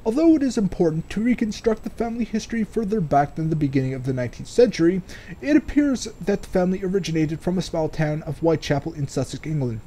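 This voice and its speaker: Mellow, slightly high-voiced sardonic man, noisy recording. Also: lively intonation, quick pace. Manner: sardonic, swears freely. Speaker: let's player. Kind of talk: casual game commentary mixed with reading in-game text aloud.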